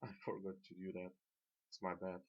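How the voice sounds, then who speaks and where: A man's voice: deep voice, monotone, normal speed, lo-fi sound. A man whose first language is not English, casually commentating gameplay as a guide, unscripted and correcting himself as he goes. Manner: unscripted, self-correcting, with fillers. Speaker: game guide narrator.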